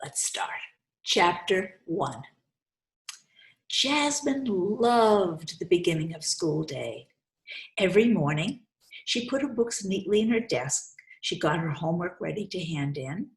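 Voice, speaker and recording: A woman hosting a children's storytime, speaking deliberately and instructively as she reads and explains, often repeating phrases for young listeners. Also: on the low side, expressive. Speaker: host reading children's books aloud. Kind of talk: storytime read-aloud with commentary to children. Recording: roomy, echoey sound.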